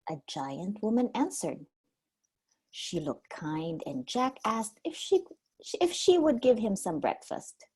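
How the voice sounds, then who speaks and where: A woman reading a storybook aloud like a preschool teacher, expressive and simply worded for small children. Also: mid-range voice, takes it slowly, slightly rough.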